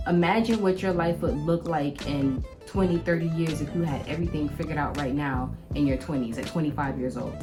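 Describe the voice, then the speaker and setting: A woman in her late twenties with a notably low voice co-hosts a relaxed podcast chat. She talks candidly and expressively, laughing easily. Soft music plays behind her. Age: 20s. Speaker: podcast co-host. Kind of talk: casual conversational podcast.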